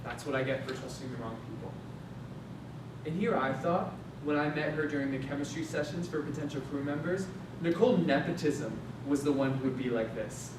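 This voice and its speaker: A man's voice: fairly quick, close to an everyday voice. A young man acting a brash, resentful space maintenance worker in a staged drama, slangy, sarcastic and confrontational. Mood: accusing, bitter. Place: stage or hall at a staged reading. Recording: very echoey room, distant mic, noisy rumble.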